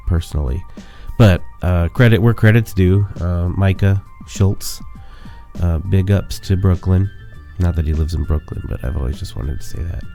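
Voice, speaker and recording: Stuffy-voiced man with a cold, very low and gravelly. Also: warm tone. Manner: rambling, many fillers, self-mocking. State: sick with a cold. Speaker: podcast host. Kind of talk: casual monologue and memoir reading.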